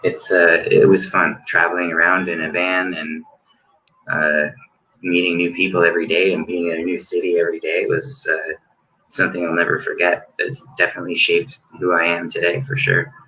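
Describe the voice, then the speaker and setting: A man's voice: low-pitched, little pitch movement, normal speed, pushed and bright, muffled recording. A man talking casually as a guest musician, rambling with many fillers and restarts. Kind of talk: casual chat.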